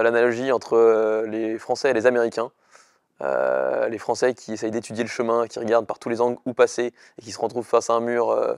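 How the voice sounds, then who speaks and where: A man's voice: medium pitch, vocal fry, darkish tone, no echo, clean studio sound. A young man, a startup chief executive giving an earnest, hesitant interview to camera in short bursts. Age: young adult. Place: indoor studio with dark backdrop. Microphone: lapel mic.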